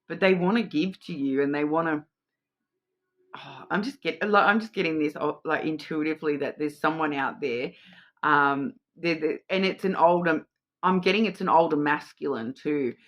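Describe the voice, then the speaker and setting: A woman's voice: deep voice, moderate pace, highs cut off. A middle-aged Australian woman with an Australian accent, giving an intuitive card reading to camera with lots of ums and restarts. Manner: rambling, many ums and restarts. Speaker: tarot card reader.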